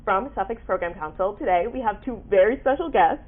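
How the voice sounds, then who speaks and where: Young woman podcast host, super peppy n effusive, bit husky, sounds like its over a phone. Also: medium pitch, expressive, fairly quick. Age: young adult. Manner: effusive, peppy, frequent backchannels. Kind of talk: upbeat scripted introduction and casual interview. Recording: muffled phone-like, radio-like sound.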